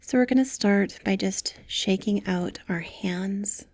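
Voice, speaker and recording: A woman leading a guided meditation with soft, gentle, soothing instructions, breathy and often close to a whisper. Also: medium pitch, slow pace, dry recording.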